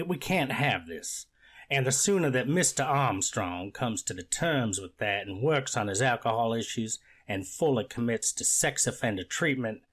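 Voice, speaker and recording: A man playing an earnest, stern courtroom official in a readers theater reenactment, speaking in a measured, deliberate way. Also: on the low side, fairly bright tone.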